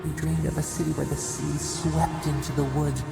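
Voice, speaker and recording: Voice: mid-range voice, little pitch movement, moderate pace, creaky. An actor playing an ancient, solemn nature spirit in a video game, speaking cryptic, poetic, portentous lines with an echo. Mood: portentous, reverent. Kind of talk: video game dramatic narration. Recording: echoing voice under prominent music. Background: loud music.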